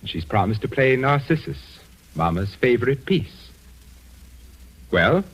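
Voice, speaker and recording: A man's voice: medium pitch, big pitch swings, unhurried, low-quality recording. An actor playing an insistent, aggrieved widowed father in a theatrical, formal old-time radio drama style. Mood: strained coaxing.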